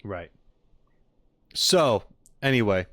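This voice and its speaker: A man's voice: on the low side, lively intonation, very slow, fairly bright tone, no room sound. Dry-sounding man, rambling streamer chat. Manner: rambling, emphatic quoting of others. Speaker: streamer reacting to game videos. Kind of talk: casual chat with viewers, theorizing.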